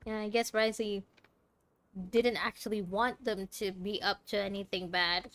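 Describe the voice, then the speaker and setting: A woman's voice: mid-range voice, steady tone, unhurried, a bit bright, no room sound. A woman gaming streamer giving casual playthrough commentary, chatting playfully with the game's characters. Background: game music.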